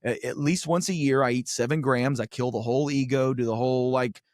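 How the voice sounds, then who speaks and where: A man's voice: mid-range voice, a little fast, no room sound, clean studio sound. A middle-aged man chatting casually as a podcast guest, telling rambling, wry, profanity-laced stories with colorful turns of phrase. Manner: animated, profane, wry philosophizing with colorful comparisons. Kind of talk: casual chat, rambling storytelling.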